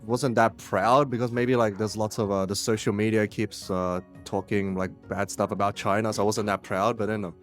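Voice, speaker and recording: A man with a low voice speaks thoughtfully and fills his sentences with "like" and "uh". He works as a stuntman and actor. Faint music plays behind him. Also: flat delivery, moderate pace, few pauses. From Australia. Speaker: actor, stuntman, martial arts teacher. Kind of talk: casual interview answers.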